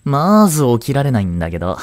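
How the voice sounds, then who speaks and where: A man playing a laid-back, cheeky, protective male high school student in a romantic audio drama, with casual, slangy, reassuring delivery. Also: wildly swooping pitch, fast talker, no room sound, clean studio sound. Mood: relaxed, candid.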